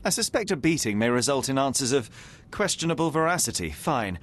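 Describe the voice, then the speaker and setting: A man's voice: medium pitch, very animated, fairly quick, slight background noise, natural voice. Sherlock Holmes, a man playing a cool young detective. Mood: dry. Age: young adult. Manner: dry, precise, dismissive. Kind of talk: video game cutscene voice acting.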